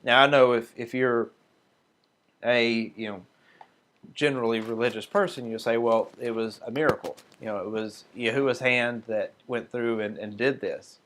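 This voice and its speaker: A man teaching a Sabbath group, reading passages aloud slowly and then explaining them in a loose, casual way with fillers and restarts. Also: medium pitch, steady tone, fairly bright tone, a little hiss or hum. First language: not Hebrew. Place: small group meeting room.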